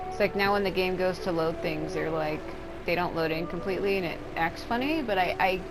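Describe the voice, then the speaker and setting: A woman's voice: lowish voice, rough recording. A woman giving casual, rambling gameplay commentary in an informal way as a video host. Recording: game music behind the voice.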